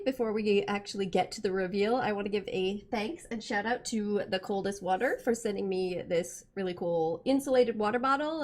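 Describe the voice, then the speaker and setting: A woman hosting a gaming reaction video, chatting casually and reacting with exclamations, her voice slightly hoarse from being sick. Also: medium pitch.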